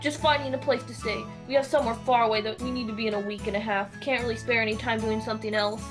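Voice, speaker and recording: A teenage actress playing a polite traveling messenger in a student fantasy radio drama. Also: female voice, on the high side, steady tone, quick pace, music in the background, lightly acted. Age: teens. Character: polite, weary traveling messenger on urgent errand. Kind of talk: student radio theatre acting.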